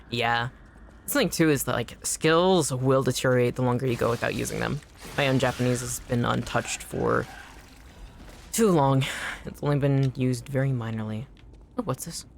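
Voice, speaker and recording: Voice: mid-range voice, a little hiss or hum. A streamer whose first language is Anishinaabemowin, chatting casually with viewers while playing, swearing and yelping at enemies mid-sentence. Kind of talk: casual gaming stream chat.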